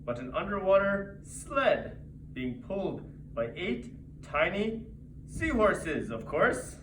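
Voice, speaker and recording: A man theatrically reciting a rhyming holiday story as a storyteller, in measured phrases. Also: high-pitched, sing-song. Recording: distant mic, echoey noisy room.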